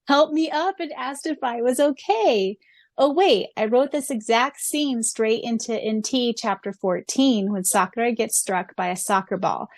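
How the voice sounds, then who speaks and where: A giggly woman co-hosting a chatty podcast, reading questions and answers aloud at an ordinary middle pitch. Her voice sits close and dry on the mic, with no room sound around it. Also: dry recording. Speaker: podcast co-host. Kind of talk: casual chat reading listener questions.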